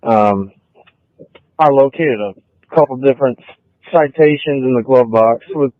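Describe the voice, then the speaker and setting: A man's voice: mid-range voice, measured pace, no room sound. Man on a tinny police scanner. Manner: flat, spells letters in phonetic code. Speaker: police officer on scanner radio. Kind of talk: police radio traffic.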